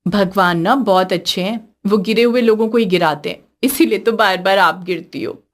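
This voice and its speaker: A woman's voice: mid-range voice, normal speed, close to an everyday voice. A woman voicing a young, teasing, easily frightened daughter-in-law in a cartoon story. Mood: mocking.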